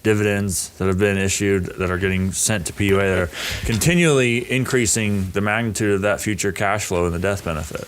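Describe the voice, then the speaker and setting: Guy on a podcast, low voice, works out his thoughts out loud and restarts a lot. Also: brisk, hardly any pauses, slight background noise. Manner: thinks aloud, restarts phrases, explanatory. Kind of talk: casual podcast conversation.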